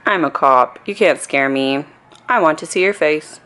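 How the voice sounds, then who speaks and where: A woman's voice: deep voice, moderate pace, a bit bright, clean tone. A woman voicing a blustering male policeman in a storybook, stern, incredulous and boastful. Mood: skeptical.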